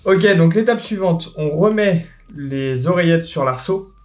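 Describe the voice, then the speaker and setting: A man's voice: on the high side, measured pace. Chatty man walking through a build. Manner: relaxed, joking, explains step by step. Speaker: tutorial host. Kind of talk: casual DIY tutorial with banter.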